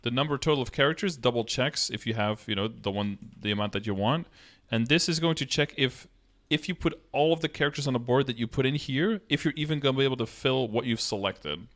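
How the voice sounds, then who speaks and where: A man's voice: medium pitch, fast, hardly any pauses, fairly bright tone. Man casually explaining on a live stream. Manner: explanatory, step by step. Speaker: game streamer. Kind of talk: casual live-stream tutorial, answering chat questions. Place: indoor room, streaming setup.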